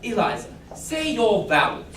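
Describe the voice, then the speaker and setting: A woman's voice: mid-range voice, wildly swooping pitch, normal speed, a bit bright. A woman playing a bossy, superior male professor in a stage musical, drilling commands in a clipped manner. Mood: impatient. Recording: echoey stage, mic far off, noise in the background.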